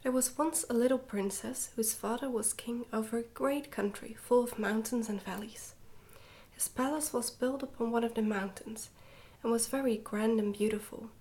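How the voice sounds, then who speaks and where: A woman reading a storybook in a soft-spoken ASMR style, slipping often into a whisper, with a gentle, hushed delivery. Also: fairly high pitch, normal speed. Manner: gentle, hushed delivery with some stumbles over words. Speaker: ASMR reader, channel host.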